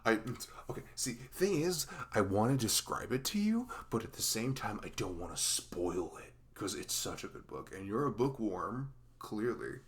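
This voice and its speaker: Man playing a dorky best friend, very deep, gravelly. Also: big pitch swings. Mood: enthusiastic, rambling. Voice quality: velvety voice. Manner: rambling, halting, teasing. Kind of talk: ASMR roleplay.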